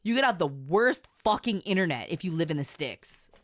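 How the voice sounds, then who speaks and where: A woman talks casually to her livestream viewers. Her pitch moves around a lot as she makes her points emphatically and swears freely. The sound is close and dry, with little room in it. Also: very animated, normal speed, dry recording. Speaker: VTuber streamer.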